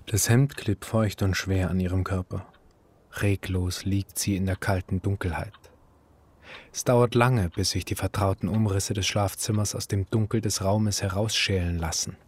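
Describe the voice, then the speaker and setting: An actor playing a grave, measured literary narrator in a radio play, with a husky voice. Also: male voice, sits low, steady tone, a little mellow. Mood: hushed, grave. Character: grave literary narrator, also the concerned husband. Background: music.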